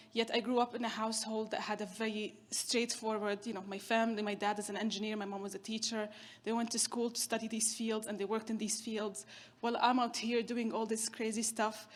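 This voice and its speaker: Chatty keynote woman, higher voice. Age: 30s. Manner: chatty, storytelling with self-deprecating humor. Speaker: keynote speaker. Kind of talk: conference keynote talk.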